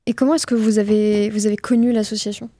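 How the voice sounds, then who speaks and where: Mellow-voiced woman hosting a radio show. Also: mid-range voice, level tone, fast talker. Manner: polished, scripted introduction. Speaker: radio host. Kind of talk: radio interview. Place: radio studio.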